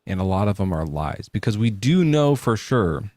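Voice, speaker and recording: A man's voice: on the low side, big pitch swings, moderate pace, darkish tone, no echo, studio quality. A male podcast host talking casually from his garage in a jokey, loose way, with plenty of swearing. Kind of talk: casual debate chat. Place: home garage.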